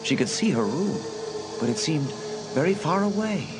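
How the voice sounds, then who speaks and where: A man's voice: fairly high pitch, sing-song, normal speed, loud music behind the voice, poor audio. A man reading scripted, dramatic story narration for an audiobook.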